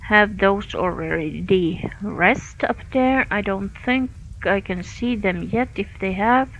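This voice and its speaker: A woman's voice: mid-range voice, takes it slowly, muffled recording. A woman from Finland with a Finnish accent, thinking aloud with many fillers while she streams a game. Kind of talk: live gameplay commentary.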